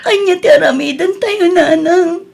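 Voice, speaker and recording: Radio drama casting: actress as distraught pregnant young daughter, extremely high-pitched, sobbing and anxious. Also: female voice, normal speed, gravelly, darkish tone. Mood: worried, pleading. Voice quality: tearful, sobbing delivery. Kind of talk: Ilocano radio drama acting.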